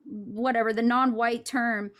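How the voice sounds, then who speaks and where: A woman's voice: on the high side, steady tone, unhurried, fairly bright tone. A woman from California in a heated call-in debate, defensive and rambling.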